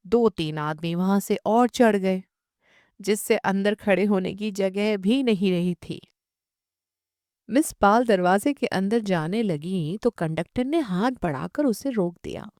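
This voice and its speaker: A woman's voice: mid-range voice, takes it slowly, darkish tone, no echo, studio quality. A woman reading a literary short story as an audiobook narrator.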